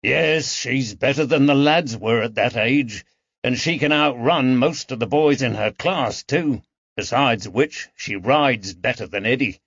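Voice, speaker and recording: A man's voice: medium pitch, unhurried, a bit bright, husky, dry recording. Man voicing a plain-spoken proud working-class father, lo-fi. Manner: colloquial, homely, unschooled grammar. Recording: dull lo-fi recording.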